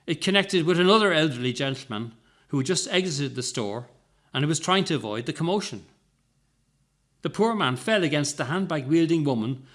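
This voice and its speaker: A man's voice: a little high, a bit bright. A man narrating a scripted short story as its reader.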